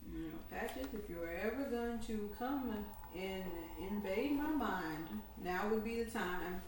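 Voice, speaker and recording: A woman's voice: mid-range voice, animated, unhurried, distant mic. A woman playing a tabletop role-playing game, bantering casually in a sarcastic, irreverent way. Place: indoor room, home game session. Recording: very echoey room, clear background noise. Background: noise, air conditioner hum.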